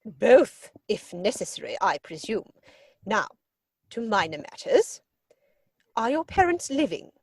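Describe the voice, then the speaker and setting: A woman's voice: medium pitch, big pitch swings, unhurried, pauses often, darkish tone, no echo, exaggerated voice. A woman playing an imperious, snobbish older upper-class lady in a theatrical comedy reading, pompous and disapproving. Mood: haughty, disapproving. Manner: pompous, disapproving, crisply emphatic. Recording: dry home video-call recording.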